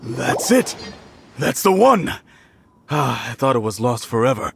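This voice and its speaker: A man's voice: medium pitch, very animated, a little slow, gravelly, a little hiss or hum. A man voicing a polite, earnest, wealthy innkeeper in a video game. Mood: relieved. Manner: courteous, earnest.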